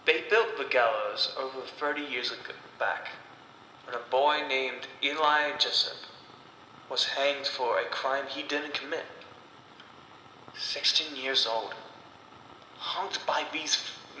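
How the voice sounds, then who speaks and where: A man voicing a bitter, defiant condemned woman in a horror story, speaking gravely with deliberate pauses. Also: medium pitch, pushed and bright. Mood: grim, defiant. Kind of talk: slow dramatic horror storytelling. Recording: echoey, long reverb, background noise.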